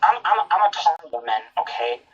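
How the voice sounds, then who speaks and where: A woman's voice: deep voice, big pitch swings, brisk, breathy edge, distant mic, dull audio. A woman from Minnesota with a Minnesotan accent chatting casually, repeating and stammering as she talks. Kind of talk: casual chat.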